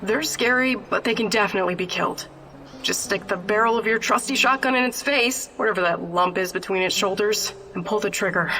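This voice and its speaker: A woman's voice: mid-range voice, fairly quick, bright, forceful tone, a little hiss or hum, poor audio. An actress playing a tough, no-nonsense female fighter in a video game, direct and plain-spoken. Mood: confident, tough. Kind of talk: video game character acting.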